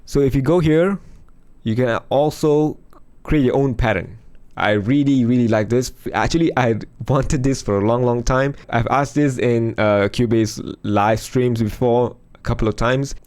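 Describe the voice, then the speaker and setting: A man losing his voice, chatting casually through a music software demo over a screen recording. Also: mid-range voice, moderate pace. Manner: chatty, thinks aloud with fillers. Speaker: music production youtuber.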